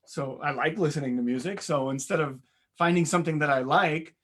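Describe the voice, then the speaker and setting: A young man with a raspy voice, answering interview questions in a modest, rambling way with many fillers. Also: on the high side, brisk, a bit bright. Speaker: interview guest, musician. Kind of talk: casual interview chat.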